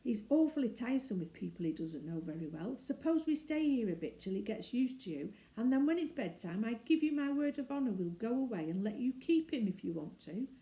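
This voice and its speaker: A woman plays a bossy Edwardian schoolboy from a children's storybook. He talks in emphatic old-fashioned slang and takes charge. The voice is mellow and the room echoes. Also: on the high side, sing-song, fairly quick, hardly any pauses. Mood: calm, scheming. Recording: roomy, echoing indoor sound.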